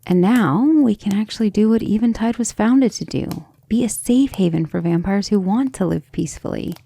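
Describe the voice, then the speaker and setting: A woman playing a gentle, earnest, devoted female vampire in an intimate ASMR roleplay, speaking tenderly and a little hesitantly. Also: medium pitch, moderate pace. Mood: earnest explaining. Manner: soft, hesitant, tender, often breathy near-whisper.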